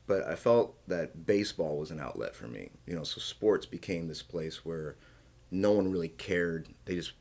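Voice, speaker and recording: A man with an American accent hosts a casual podcast conversation. His voice is low-pitched. He speaks informally, moving between questions to his guest and personal stories of his own. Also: moderate pace, a little mellow. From small-town rural United States. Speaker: podcast host, interviewer. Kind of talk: casual chat, conversational interviewing.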